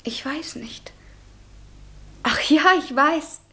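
A woman acting in a German radio play as a bold, sharp-tongued young lady, assured, knowing and gently condescending. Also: high voice, takes it slowly, exaggerated voice. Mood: cool, tender, teasing.

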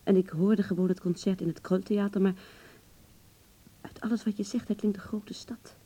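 A woman's voice: mid-range voice, steady tone, moderate pace, warm tone, close to an everyday voice. An actress playing a vulnerable, searching young woman with a blunt streak in a Dutch radio play, speaking hesitantly and with intimate emotion. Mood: hesitant, uneasy.

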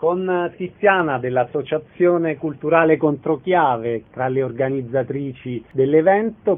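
A man hosting a radio interview, introducing and questioning guests. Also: on the high side. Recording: muffled band-limited radio broadcast sound.

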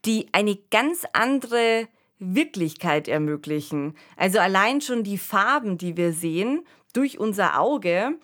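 Female podcast co-host, mid-pitched, talks things through analytically in a conversational register. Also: expressive, measured pace, a bit bright. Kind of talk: casual discussion of science fiction radio plays.

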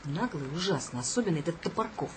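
A woman's voice: low voice, expressive, quick pace, slight background noise. Haughty, exasperated boss lady. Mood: bossy, indignant. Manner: imperious, indignant. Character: haughty, exasperated female editor, bossy and scornful. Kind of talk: radio play acting.

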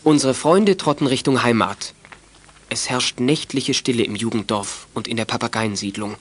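A man's voice: medium pitch, moderate pace, a little hiss or hum. A man narrating a youth radio drama as a scripted, dramatic story.

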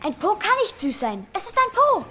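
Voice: very high-pitched, wildly swooping pitch, moderate pace, pushed and bright, faint music behind, heavily acted. A performer playing a naive, blunt middle-school boy, the questioning best friend in a German audio drama. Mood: puzzled.

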